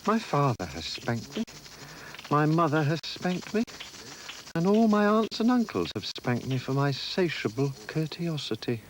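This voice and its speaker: A man doing a high squeaky young elephant calf. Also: sing-song, measured pace, slight background noise, rough recording. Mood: polite pleading. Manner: high, pleading, breathless politeness. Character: small curious young elephant calf, polite. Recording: storybook reading with music behind.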